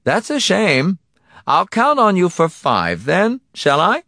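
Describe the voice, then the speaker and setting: A man performs an audiobook villain, a smooth and condescending boss. His pitch sits raised and moves with lively swings, and he stretches his mock-polite phrases with unruffled menace. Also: no room sound, studio quality, full character voice. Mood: indulgent, mocking. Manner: mock-polite, indulgent, drawn-out delivery. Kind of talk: audiobook character acting.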